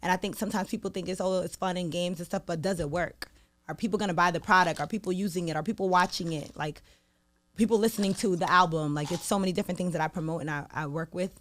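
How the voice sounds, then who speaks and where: Woman, young, New York accent, rapid casual speech, animated, lots of fillers. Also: on the low side. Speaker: guest, radio sales executive. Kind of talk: casual interview chat.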